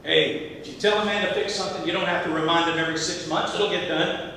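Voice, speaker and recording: A man's voice: steady tone, quick pace, sharp tone. A man playing a wry, folksy married handyman in a community theatre comedy, talking dryly. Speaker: amateur stage actor. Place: theatre stage, distant microphone. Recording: echoey hall from far off, rumble.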